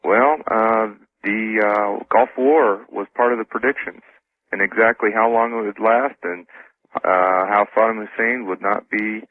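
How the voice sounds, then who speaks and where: A man's voice: on the low side, fairly even intonation, measured pace. A man being interviewed on talk radio by phone, explaining casually and earnestly with hesitant phrasing. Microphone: telephone. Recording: thin, tinny phone line.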